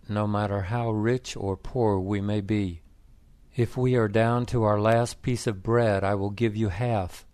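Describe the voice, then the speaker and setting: A man's voice: on the low side, a little mellow, clear, resonant tone, no room sound, close to an everyday voice. A man voicing a doting wife who teases her husband, read plainly.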